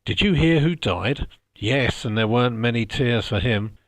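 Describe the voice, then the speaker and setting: A man's voice: mid-range voice, lively intonation, moderate pace, fairly bright tone, no echo, close to an everyday voice. A man voicing gossiping businessmen in a storybook reading, casual and callous. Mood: flippant gossip. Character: gossiping, flippant businessmen on a street corner. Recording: music behind the voice.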